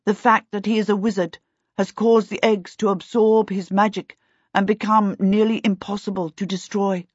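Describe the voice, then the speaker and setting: A woman voicing a tall, calm male elf who speaks in a measured, precise and coolly polite way. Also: medium pitch, slightly rough, dry recording, natural voice. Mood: calm formal. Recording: dull, dry sound.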